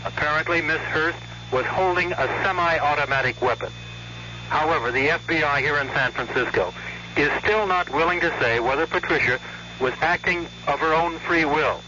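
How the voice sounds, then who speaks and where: A man's voice: fairly high pitch, moderate pace. A man with an American accent giving a scripted news report as a radio correspondent. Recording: dull noisy 1970s radio feed.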